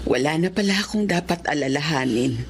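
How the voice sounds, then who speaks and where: A woman playing a gracious, well-off married lady in a radio drama, speaking in a gentle, measured way. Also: low-pitched, lively intonation. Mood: grateful, curious. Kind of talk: Tagalog radio drama acting.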